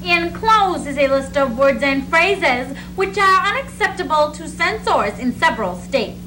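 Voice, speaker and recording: A young woman performs scripted readers theatre narration. Her voice is very high and moves widely in pitch. The amateur stage recording has clear noise. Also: expressive, unhurried. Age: young adult.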